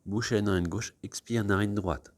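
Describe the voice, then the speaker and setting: A man leading a yoga class, giving calm, unhurried guided instructions for body awareness and breathing. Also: lowish voice, no echo.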